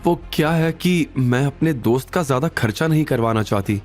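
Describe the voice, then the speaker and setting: A young woman from an audio drama, voiced by a man in a lifted pitch. She is polite and guarded, with a firm edge and an expressive delivery over music. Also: moderate pace, natural voice. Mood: polite, discreet. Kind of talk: audio drama character dialogue.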